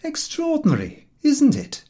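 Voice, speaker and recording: A man's voice: very high voice, huge pitch swings, unhurried, mellow, pitched above the performer's own voice, full character voice. A man voicing a sardonic, world-weary carriage horse with a dry, disdainful manner in a storybook reading. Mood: mock amazement.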